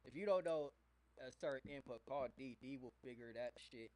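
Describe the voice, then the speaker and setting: A man's voice: medium pitch, brisk, a crowd in the background. A man hosting a live studio stream, chatting casually in slang and repeating short phrases. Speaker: livestream host at a music studio. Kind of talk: casual live-stream chat and sound check. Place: music studio during a live session.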